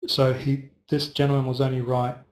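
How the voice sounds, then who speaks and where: A man's voice: mid-range voice, completely flat delivery, moderate pace, darkish tone. A man hosting a casual live trading webinar, chatting loosely and answering viewers by first name. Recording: roomy echo.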